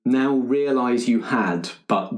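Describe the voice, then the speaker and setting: A man's voice: medium pitch, slow pace. A man narrating a tabletop roleplaying game as game master, explaining possibilities in a tentative, exploratory way. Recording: echoey room.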